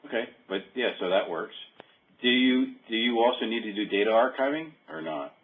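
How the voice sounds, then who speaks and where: A man giving a casual software walkthrough over a conference call, thinking aloud in a halting way. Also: mid-range voice, creaky. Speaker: software demonstrator. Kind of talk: casual screen-share walkthrough. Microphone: phone or conference call. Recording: muffled narrow phone-like call.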